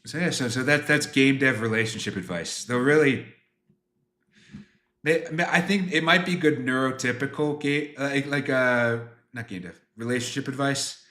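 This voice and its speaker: Bright-voiced young man streaming in an echoey room. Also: mid-range voice, normal speed. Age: 20s. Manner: rambling, self-deprecating. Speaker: game developer hosting a livestream Q&A. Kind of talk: casual livestream chat answering viewers.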